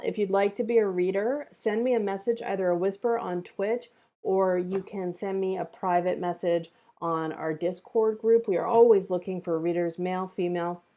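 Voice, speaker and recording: A woman hosting an online script table read, chatting casually in an appreciative, encouraging way. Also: medium pitch. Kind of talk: casual feedback chat and stream sign-off.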